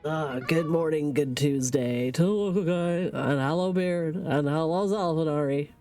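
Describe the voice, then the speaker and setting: A woman's voice: sits low, a little slow, warm tone, faint music behind. A woman in her thirties streaming from home with a stuffy sinus cold, rambling casually, swearing and laughing.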